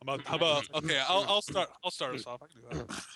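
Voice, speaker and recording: A man's voice: fairly high pitch, animated, rapid-fire, crowd noise. A man bantering casually on an online group call. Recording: online group call, others talking behind.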